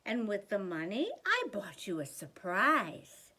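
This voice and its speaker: A woman's voice: medium pitch, sing-song, takes it slowly, sharp tone, heavily acted. An older woman voicing a cheerful, enterprising wife in a children's storybook, speaking with persuasive reassurance.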